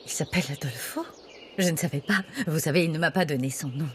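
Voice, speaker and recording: A woman's voice: low voice, fast talker, noisy background, lightly acted. An actress playing an offhand woman answering at a house door. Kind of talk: dubbed drama acting.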